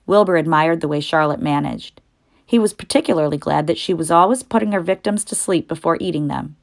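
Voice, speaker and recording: A woman reads a children's storybook aloud as narrator. Her voice is a little lower than most women's, in a scripted storytelling style. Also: a little fast, vocal fry.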